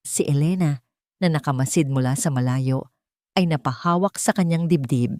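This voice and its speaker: A woman narrating a scripted sentimental drama story in a measured, dramatic storytelling manner. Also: deep voice, a little mellow, no room sound, clean studio sound.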